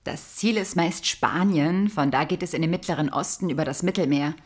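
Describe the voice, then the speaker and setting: A grave female detective in a radio play, her voice on the low side. She is emphatic and accusing, a moral crusader on official business. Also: brisk, slightly rough. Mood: grave, appalled. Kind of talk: audio drama acting.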